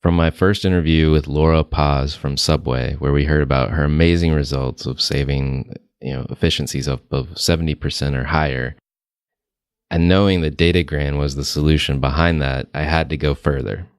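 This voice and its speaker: A man hosting a marketing interview podcast, introducing and questioning his guest in a steady, conversational way. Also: rumbling low, normal speed, smooth, no room sound, clean studio sound. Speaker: podcast host.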